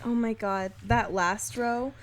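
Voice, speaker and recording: A young woman speaks in a moderately high voice as a podcast co-host. Her talk is casual back-and-forth, giggly and mildly self-deprecating. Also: fairly even intonation, takes it slowly. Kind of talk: casual banter.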